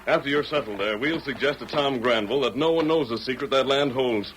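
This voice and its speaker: An actor playing a calm, noble masked western hero, with measured, commanding American speech in an old-time radio drama. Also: male voice, medium pitch, lightly acted. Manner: measured, stately, reassuring. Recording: noisy old radio recording.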